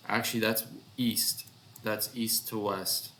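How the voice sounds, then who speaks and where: Male developer, casual screen demo: low-pitched, very unhurried, hesitant thinking-aloud delivery, faint noise behind. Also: flat delivery, pushed and bright. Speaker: developer presenting own project.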